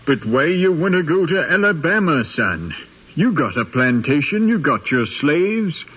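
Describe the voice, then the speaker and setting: A man's voice: fairly high pitch, big pitch swings, measured pace, fairly bright tone, breathy edge, exaggerated voice. An actor playing a stern, settled older planter father in a 1950s radio drama, admonishing and emphatic. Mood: stern disapproval. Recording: dull 1950s radio recording.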